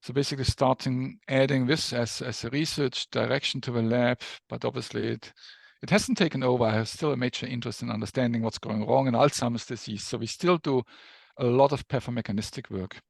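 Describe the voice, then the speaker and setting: A man's voice: medium pitch, fairly even intonation, brisk, vocal fry, no room sound. A mature man from Germany with a German accent, speaking as an academic guest explaining things in an interview. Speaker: guest professor, neuroscience researcher.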